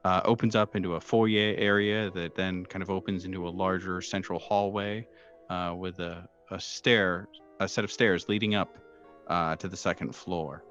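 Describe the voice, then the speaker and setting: A very low-voiced man runs a horror tabletop game as game master. He describes scenes in a halting, searching way, feeling his way through each phrase. Faint music plays behind him. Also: steady tone, highs cut off. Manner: halting, hesitant descriptive delivery. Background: soft background music.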